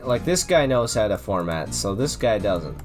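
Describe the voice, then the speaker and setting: Male read-along host, animated intonation, casual comic asides, music underneath. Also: on the low side, moderate pace. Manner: breaks off into amused asides. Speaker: host and reader.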